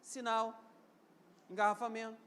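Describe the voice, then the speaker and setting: A Brazilian pastor in his fifties with a Rio de Janeiro accent and a strikingly high voice for a man. He preaches in call-and-response, asking the congregation to repeat lines and answer amen. His delivery climbs to loud, emphatic peaks and then drops back to quieter stretches. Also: little pitch movement, unhurried, clear, resonant tone. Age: 50s. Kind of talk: live church sermon.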